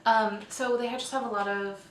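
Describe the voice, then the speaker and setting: A woman's voice: a little high, steady tone, fairly quick. Echoey, distant woman chatting as host. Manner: informal, self-correcting, tentative on names. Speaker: host of a weekly online roleplay community show. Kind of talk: casual chatty roundup vlog. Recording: echoey room, distant mic, faint hiss.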